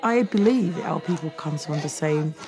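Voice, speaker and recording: A woman's voice: low-pitched, lively intonation, moderate pace. A woman from Samoa with a Samoan accent, sharing her personal story in a documentary interview. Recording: loud music bed behind voice.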